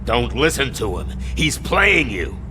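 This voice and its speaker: An actor playing a smug, slippery arcade owner who deals drugs in a video game, sardonic and smooth-talking. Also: male voice, mid-range voice, very animated, measured pace, bright, forceful tone, soft background music. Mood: wry, evasive. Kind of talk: video game cutscene acting.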